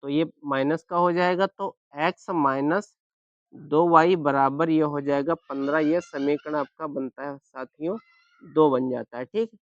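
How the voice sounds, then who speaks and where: A man with a sore, hoarse throat teaching a maths lesson step by step, often asking if everyone is following. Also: on the high side, steady tone, a little slow, dry recording, lo-fi sound. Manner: keeps asking "clear?" and "any problem?".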